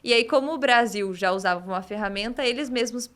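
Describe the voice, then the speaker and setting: A Brazilian woman talks through her answers in a podcast interview, measured and explanatory, with a frequent "né?". Her voice sits a little high. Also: a bit bright. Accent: Brazilian Portuguese. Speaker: legal tech guest.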